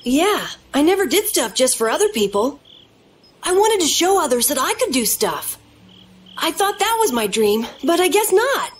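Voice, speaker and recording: An earnest young boy in a game, candid and eager to prove himself. The voice is extremely high and lively. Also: normal speed, slight background noise. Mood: earnest, reflective. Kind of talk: video game cutscene acting.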